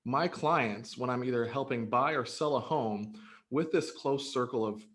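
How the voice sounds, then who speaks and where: An upbeat man in his thirties, born in Greece, answers interview questions casually. He talks earnestly and keeps restarting his sentences with ums and uhs. His pitch sits in a middle range for a man. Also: a little slow, fairly bright tone. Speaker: real estate broker, guest.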